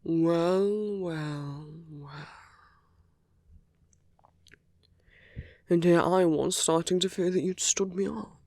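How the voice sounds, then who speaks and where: A man voicing a charming, flirtatious villain with a tender side in an intimate ASMR roleplay, soft and teasing, often dropping to a whisper. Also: high voice, steady tone, moderate pace, creaky, dry recording.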